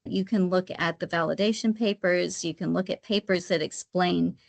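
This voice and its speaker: A woman's voice: on the low side, steady tone, moderate pace, vocal fry. A woman giving an explanatory webinar presentation step by step, sometimes repeating her phrases as she goes. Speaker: guest presenter, credit rating specialist.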